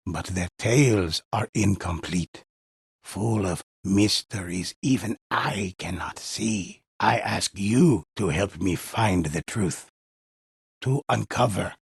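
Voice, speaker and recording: Older mystic storyteller, video game narration, solemn, portentous, gravelly, unhurried, close dry sound. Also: male voice, deep voice, no echo, full character voice. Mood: solemn, mysterious. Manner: solemn, measured, portentous delivery. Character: mysterious older male storyteller, solemn, reverent teller of samurai legends.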